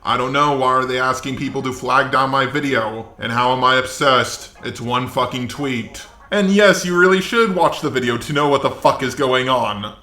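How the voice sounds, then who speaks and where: A man's voice: mid-range voice, normal speed, few pauses, bright, forceful tone, echoey room. A man doing combative, profane online commentary with a sarcastic, exasperated way of talking. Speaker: YouTube commentator.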